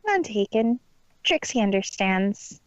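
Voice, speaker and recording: A woman's voice: mid-range voice, sing-song, slow, dry recording. A woman playing a boastful, theatrical unicorn magician who speaks of herself in the third person, in a fan story reading. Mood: gracious. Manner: haughty, theatrical, hammy. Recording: dull lo-fi home recording.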